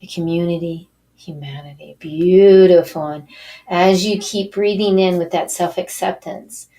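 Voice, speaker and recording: A woman leading a guided healing meditation, talking softly and slowly and drifting into breathy whispers. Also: lowish voice, fairly even intonation.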